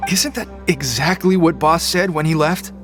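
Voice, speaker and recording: A man's voice: fairly high pitch, normal speed, over music, lightly acted. A man playing a tough, cocky and loyal prison gang member in a video game, with a swaggering streetwise manner. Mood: grudging.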